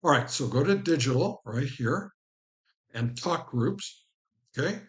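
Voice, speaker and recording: A man's voice: mid-range voice, lively intonation, a little slow, fairly bright tone. Man giving an informal how-to walkthrough. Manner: instructional, addresses the viewer directly. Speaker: host of a ham radio how-to channel. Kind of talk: informal step-by-step tutorial.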